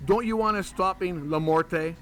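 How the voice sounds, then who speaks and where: An actor playing a cocky, flirtatious monster hunter who is sarcastic and pushy, in a comedy horror audio drama. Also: male voice, high voice, normal speed, a bit bright, slight background noise. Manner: sarcastic, pushy, smooth-talking.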